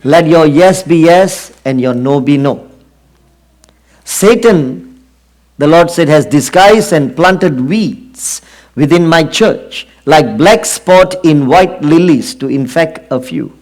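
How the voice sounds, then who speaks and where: A middle-aged man from Singapore with a Singaporean accent, preaching an earnest sermon and often pausing on fillers. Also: fairly high pitch, a little mellow. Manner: earnest, drawn-out emphasis with frequent fillers.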